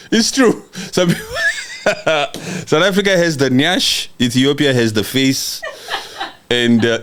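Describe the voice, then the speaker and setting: A man's voice: a little high, a bit bright, a little hiss or hum. Brash joking man on a livestream. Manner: brash, joking, mocking and laughing often. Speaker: livestream host. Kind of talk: casual livestream chat reading viewer comments.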